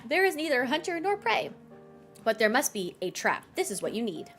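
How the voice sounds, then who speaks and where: Casting: female streamer, fairly high register, loose, playful live game chatter over soft background music. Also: big pitch swings, normal speed. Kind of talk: casual live game commentary.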